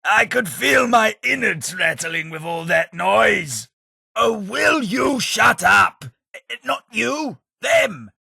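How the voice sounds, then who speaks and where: An actor playing a refined, dry-witted male ghoul companion with an upper-class Southern English accent and a husky voice, recorded close in a studio for a game. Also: high voice, lively intonation, slow pace, pushed and bright, no room sound, exaggerated voice. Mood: irritated. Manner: dry, wry, refined delivery. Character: posh, witty male ghoul gentleman companion. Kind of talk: video game voice lines. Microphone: close studio mic.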